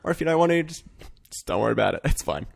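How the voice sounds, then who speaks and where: A man's voice: a little high, big pitch swings, rapid-fire, creaky. Casual man hosting a joking podcast. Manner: jokey, sweary banter. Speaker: podcast host. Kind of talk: casual comedic pop culture podcast chat.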